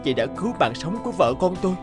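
A kind-hearted cartoon father talks gently and sincerely. His voice is a bit high for a man, with music clearly audible behind it. He sounds humble. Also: big pitch swings, fast. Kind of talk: animated film dubbing. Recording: loud background music.

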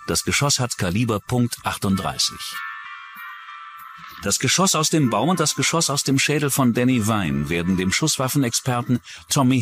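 A man's voice: medium pitch, fairly bright tone. A man delivering scripted true-crime narration as a documentary narrator.

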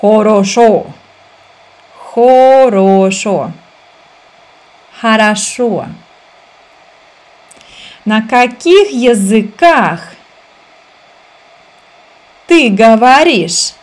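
Woman, 30s, language teacher, very slow pace, slightly high voice, repeats phrases syllable by syllable, webcam at home. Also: expressive. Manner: repeats words slowly, syllable by syllable. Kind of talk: slow reading drill for learners.

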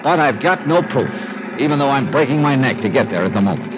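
A man plays a tough insurance investigator from 1950s radio crime drama. He has a mid-pitched voice and a wry, hard-boiled, conversational delivery on an old broadcast recording. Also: lively intonation, a little fast, over loud music, natural voice, poor audio. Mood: hard-boiled narrating. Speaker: lead actor and narrator in radio drama. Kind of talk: 1950s radio detective acting and narration.

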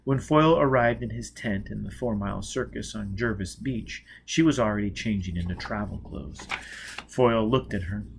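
A man in his thirties reading a novel aloud as an expressive narrator. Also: lowish voice, normal speed, creaky, noisy background. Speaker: audiobook reader. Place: small indoor space with a dark curtain backdrop.

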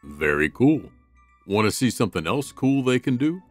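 A man playing a big, world-weary guard dog in a gruff, deadpan cartoon voice. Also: mid-range voice, normal speed, dry recording, soft background music, close to an everyday voice. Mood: stern, deadpan. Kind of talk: comic dub cartoon acting.

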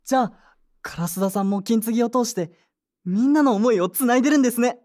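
Woman acting an earnest, mellow young man. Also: on the high side, brisk, natural voice. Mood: moved, grateful. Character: earnest, polite young man, grieving grandson. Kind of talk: Japanese audio drama acting and first-person narration.

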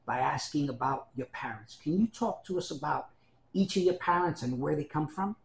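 A man's voice: a little high, lively intonation, normal speed, creaky, echoey room, slight background noise. A man interviewing for an oral history project, asking short questions. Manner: brief prompts and questions.

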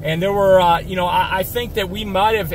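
A man's voice: sits high, steady tone, fairly quick. Chatty man giving a fishing report. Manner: rambling, chatty, with many fillers and restarts. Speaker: fishing guide and tournament angler. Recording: outdoor recording with noticeable background noise.

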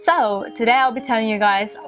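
A woman's voice: a little high, steady tone, a little fast. A young woman in her twenties giving chatty, emphatic language-learning tips to camera in a small bedroom. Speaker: language vlogger. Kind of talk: casual tips vlog to camera. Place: small bedroom with fairy lights. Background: soft music box music.

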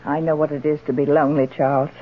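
An actress playing a genteel, well-to-do middle-aged widow in a radio drama, gracious and expressive. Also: female voice, deep voice, fast. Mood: questioning, wistful. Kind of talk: old-time radio drama acting. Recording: muffled, band-limited old radio broadcast.

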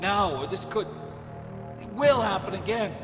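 An actor playing an agitated, distraught soldier in a video game, speaking with strained emphasis. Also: male voice, high-pitched, lively intonation, normal speed. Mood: desperate. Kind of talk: video game cutscene acting. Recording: echoey, music behind the voice.

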